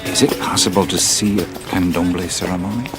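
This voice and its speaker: A man's voice: sits low, fairly quick, lightly acted. A man acting in a radio play as a curious, easygoing male traveller, speaking in a hesitant, musing, conversational way. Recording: music and crowd ambience behind. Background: music, outdoor crowd.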